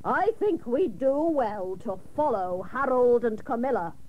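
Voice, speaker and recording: An actress playing a bossy, exasperated aunt who scolds and insults theatrically in a comic radio drama. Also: female voice, on the high side, lively intonation, unhurried, lo-fi sound. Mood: brisk, conspiratorial. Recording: noisy old cassette of radio broadcast.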